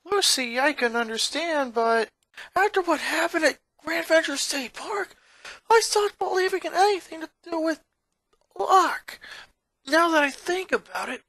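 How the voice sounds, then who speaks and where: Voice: extremely high pitch, lively intonation, unhurried, bright, forceful tone, dry recording, pitched above the performer's own voice, heavily acted. A narrator voicing a frustrated, sulky preteen boy in a story reading, exasperated and indignant. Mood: angry ranting. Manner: exasperated ranting and complaining.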